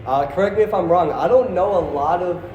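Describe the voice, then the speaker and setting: A man's voice: high voice, fairly quick, darkish tone, muffled recording. A man interviewing a fighter as a journalist, hyped and casual, often calling him bro. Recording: echoey arena with noise.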